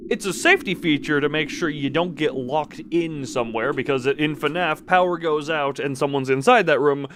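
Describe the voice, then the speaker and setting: A man's voice: a little high, normal speed, fairly bright tone, a little hiss or hum. A man doing casual game livestream commentary and reading game text aloud, often tripping over words and correcting himself. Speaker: game streamer.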